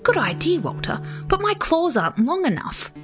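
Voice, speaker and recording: A woman voicing a gentle, confused young male koala in a children's story, plaintive and fretful. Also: very high voice, big pitch swings, normal speed, music in the background, voice pushed up. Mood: hopeful.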